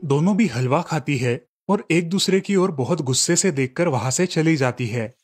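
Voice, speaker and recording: A man narrating a cartoon story with a steady storytelling delivery. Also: a little high, normal speed, breathy edge, faint music behind.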